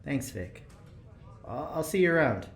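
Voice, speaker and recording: A man's voice: mid-range voice, very animated, a little slow, a little hiss or hum. An actor playing an eager, earnest male prison engineer in an audio drama, rambling hesitantly with nervous laughter. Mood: earnest, persuasive. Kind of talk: audio drama acting, naturalistic.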